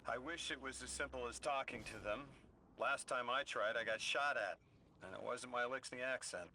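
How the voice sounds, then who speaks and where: A man's voice: mid-range voice, moderate pace, pushed and bright, rough recording. A man playing an earnest, mild-mannered guide in a video game, speaking thoughtfully and a little hesitantly. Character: earnest, mild male radio guide, sympathetic to aliens. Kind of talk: scripted video game dialogue. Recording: clear background noise of game ambience.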